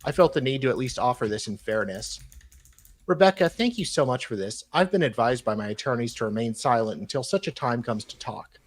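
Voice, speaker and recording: A man with an average-pitched voice reads correspondence aloud on a live talk show. His delivery is theatrical while reading, then drops into casual, mocking commentary. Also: lively intonation, a little fast. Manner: mocking asides, breaks off to comment. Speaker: host reading emails aloud.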